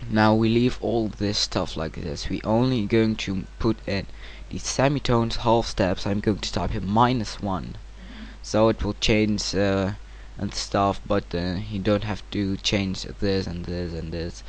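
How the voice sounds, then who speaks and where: A man narrating a casual software tutorial in hesitant, informal, non-native-sounding English. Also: fairly low pitch, measured pace. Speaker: tutorial presenter. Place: home computer screen-recording voice-over. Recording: clear steady background noise.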